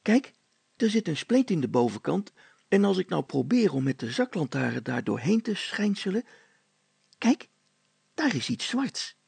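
Man playing excitable, high-voiced Hannes. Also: unhurried, vocal fry, long pauses, husky, no echo, exaggerated voice. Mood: excited. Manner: excited, breathless exclaiming. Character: eager, excitable treasure-hunting boyish male named Hannes. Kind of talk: children's story character acting.

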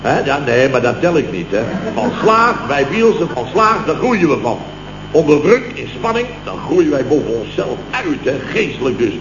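An actor playing a blustering, middle-aged contractor boss who tells long, exclamatory stories in a 1960s radio comedy. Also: male voice, a little high, big pitch swings, moderate pace, crowd noise. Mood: boastful, dramatic. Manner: long-winded, exclamatory storytelling with tag words. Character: blustering, self-important male building contractor boss, boastful, exasperated. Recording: muffled, noisy old roomy radio recording.

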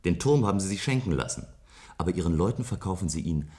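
A suspicious, contemptuous man speaks in a radio play. His low voice is emphatic and dismissive. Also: lively intonation, fast talker, darkish tone. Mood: scornful.

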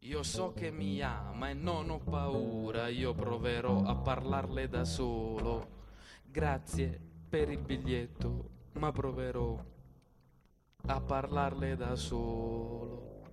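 A man playing a blunt, lovestruck young musketeer baron on stage, earnest and emphatic but halting with words. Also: medium pitch, fairly even intonation, loud music behind the voice. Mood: stubborn. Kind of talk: stage monologue theatre.

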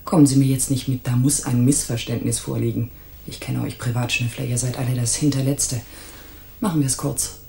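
Haughty, guarded lady. Deep, dark voice, imperious. Hardboiled radio drama. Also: steady tone, brisk, creaky, echoey room, slight background noise. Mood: scornful. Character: haughty, guarded married woman in trouble. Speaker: actress in a radio play.

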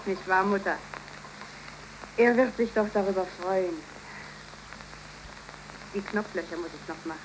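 An actress playing a cheerful, devoted young seamstress in love, in a 1930s German radio play, with an eager, expressive delivery. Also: female voice, medium pitch, unhurried, pauses often, natural voice. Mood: earnest, hopeful. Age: young adult. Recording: noisy 1931 radio recording.

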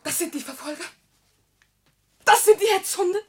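A woman's voice: very high-pitched, normal speed. An actress playing a fiercely devoted young woman in a classic radio drama, theatrical and emphatic. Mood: defiant, frantic. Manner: emphatic, theatrical, swinging from hushed to shouting. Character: fierce, devoted young woman defending her beloved older man. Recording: old radio recording with faint noise.

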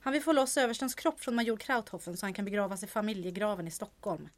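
An actress playing a brisk, dry-witted town hall clerk in a period audio drama. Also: female voice, medium pitch, close to an everyday voice. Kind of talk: period radio drama acting.

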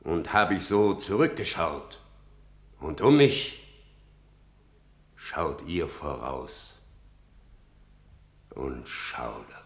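A man's voice: very deep voice, expressive, extremely slow, long pauses, roomy sound, exaggerated voice. An actor playing an old blind male seer, speaking in grave, cryptic verse. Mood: oracular. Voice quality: gravelly, solemn declamation. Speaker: actor in radio drama. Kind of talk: stage verse drama.

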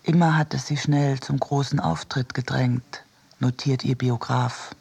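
A man's voice: mid-range voice, fairly even intonation, unhurried, creaky, a little mellow, breathy edge. A man reading scripted literary narration for a radio production. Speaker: reader of a literary essay.

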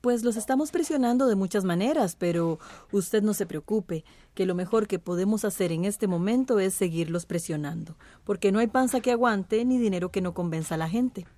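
A woman's voice: mid-range voice, moderate pace, hardly any pauses, mellow, dry recording, lightly acted. A woman acting in a radio drama as a composed, calculating mayor, formal and assured in her delivery. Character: female mayor, composed, calculating, loyal to power.

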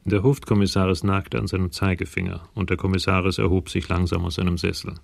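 A man's voice: deep voice, flat delivery, quick pace, creaky, no echo. A man narrating a radio crime drama in scripted prose.

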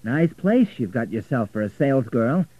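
A forceful young man in love, as a male narrator acts him out in a storybook reading. He is impulsive and easily wounded, and his voice rides well above a plain telling. The sound is thin and phone-like. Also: medium pitch, lively intonation, normal speed, breathy edge, pitched above the performer's own voice. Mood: angry, accusing. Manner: forceful, indignant.

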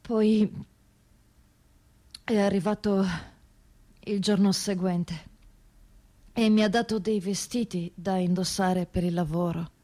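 A woman playing a young trafficked migrant woman, telling her hardship in a measured radio-theatre monologue with short halting phrases. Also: medium pitch, steady tone, mellow, natural voice. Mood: sober recounting.

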